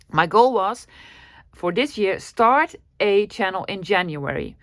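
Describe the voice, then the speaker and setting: A woman's voice: medium pitch, no room sound. A middle-aged woman from the Netherlands with a Dutch accent, hosting a casual craft vlog in a friendly, self-deprecating way with frequent laughs and ums. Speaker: craft channel host. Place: craft room desk.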